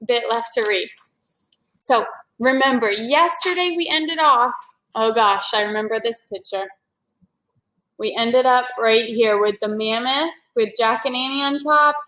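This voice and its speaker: A woman's voice: sits high, pushed and bright, low-quality recording. A woman reading a children's story aloud expressively, as if to a young class.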